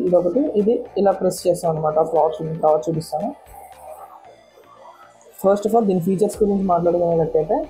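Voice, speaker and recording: A woman's voice: mid-range voice, dark tone, rough recording. A woman vlogger giving a casual, step-by-step product walkthrough, often repeating words as she explains. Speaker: motorcycle vlogger and product reviewer.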